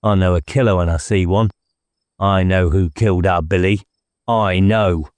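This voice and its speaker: A man acts out a cracked, menacing youth for an audiobook. He mutters boastfully and circles back on his own words. The tone is low and softly dark. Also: fairly even intonation, normal speed, smooth, no room sound, close to an everyday voice. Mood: brooding, boastful.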